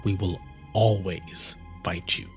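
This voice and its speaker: A man's voice: deep voice, steady tone, slow, creaky, heavily acted. A man playing a defiant, unbroken captive leader of an alien resistance in an audio drama. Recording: faint music underneath.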